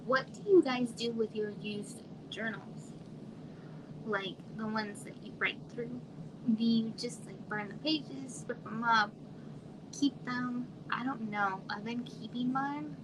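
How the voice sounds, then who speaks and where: A soft-spoken woman from Arizona, with a somewhat high voice, chats casually with viewers from home. She trails off and now and then sinks to a near whisper. Steady hiss and room noise sit under the livestream audio. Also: slow. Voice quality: quiet voice. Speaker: livestream host. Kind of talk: casual live chat with viewers.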